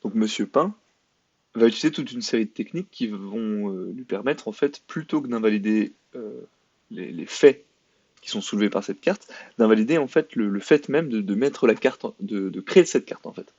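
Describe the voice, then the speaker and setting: A man's voice: fairly low pitch, expressive, moderate pace, darkish tone, slightly rough, no echo. A French-born man talking through a sarcastic commentary monologue, full of fillers and restarts. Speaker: video commentator. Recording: dry, slightly dull lo-fi sound.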